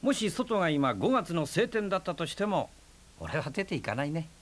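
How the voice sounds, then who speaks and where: An actor playing a brash, teasing man who talks in a rough, casual, wry way in a radio drama. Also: a little high, sing-song, normal speed. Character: brash, teasing young man, stubborn and playful. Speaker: houseguest.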